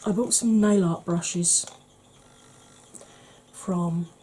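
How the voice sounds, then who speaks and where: A woman from the UK, a beauty vlogger talking through a makeup tutorial in a quiet voice that keeps slipping into whispers. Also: lowish voice, fairly even intonation, very slow, a little hiss or hum. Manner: quiet, soft-spoken, drifting into whispers.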